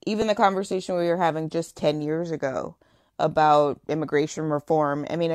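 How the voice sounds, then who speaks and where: A woman's voice: sits low, a little mellow, no echo, studio quality. A woman hosting a radio talk show, asking thoughtful, conversational questions. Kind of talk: radio interview.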